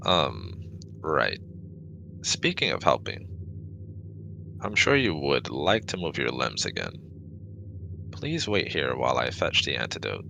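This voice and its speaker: A man's voice: very deep voice, very animated, a little slow, creaky, pauses often, background noise, heavily acted. A man voicing a formal, cautious but kind male alien with precise, stiff wording in a sci-fi ASMR roleplay. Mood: formal, reassuring.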